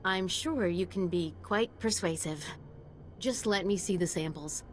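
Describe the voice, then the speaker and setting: Actress as a low-voiced, combative woman researcher. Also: measured pace, slight background noise, lightly acted. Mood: eager. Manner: earnest, emphatic. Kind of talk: video game voice acting.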